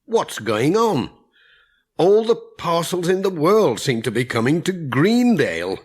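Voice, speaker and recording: A man's voice: a little high, sing-song, a little slow, darkish tone, no room sound, voice pushed up. A man voicing a friendly, overworked village postman in a children's audiobook, with a Northern English accent and a folksy, grumbling manner. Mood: weary complaining.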